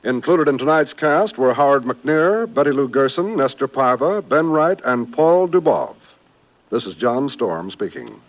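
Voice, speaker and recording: A man working as a 1950s network radio announcer, reading promos and credits in a brisk, polished delivery. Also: mid-range voice, big pitch swings, low-quality recording. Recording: vintage broadcast with faint music.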